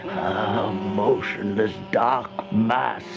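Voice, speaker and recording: An actor playing a terrified old man in a radio horror monologue, theatrical, with halting gasps and cries. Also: lowish voice, steady tone, vocal fry, full character voice. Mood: tense dread. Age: older. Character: terrified old man recounting a deadly plague of flies. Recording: dull vintage radio recording with music.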